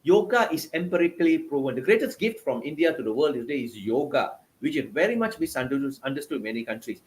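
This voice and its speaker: Malaysian guy on a video call, a bit high-pitched, passionate and emphatic, repeats himself a lot. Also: expressive, quick pace, a little hiss or hum, rough recording. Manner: passionate, emphatic, repetitive phrasing. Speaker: guest author. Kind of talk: interview answers.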